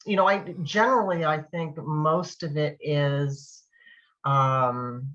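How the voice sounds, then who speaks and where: A woman's voice: sits low, steady tone, slow, fairly bright tone. A middle-aged woman chatting casually as a guest, thoughtful and a little hesitant in her phrasing. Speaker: guest author and massage therapist. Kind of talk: casual chat. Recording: dull lo-fi call audio.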